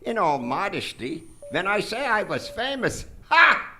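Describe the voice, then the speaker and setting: High, pompous mad surgeon villain. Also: male voice, sing-song, unhurried, bright, forceful tone, full character voice. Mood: smug, boastful. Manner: theatrical, pompous, mock-polite. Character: gleeful mad brain-surgeon doctor, courteously menacing villain. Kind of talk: live stage radio drama acting. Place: theater stage, live performance. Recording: live stage recording with faint noise.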